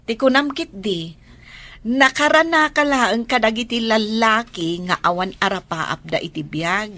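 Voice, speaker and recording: A woman's voice: a little high, measured pace, sharp tone. A woman acting as a teasing, outspoken friend giving love advice in an audio drama, with an Ilocano accent. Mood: teasing, advising.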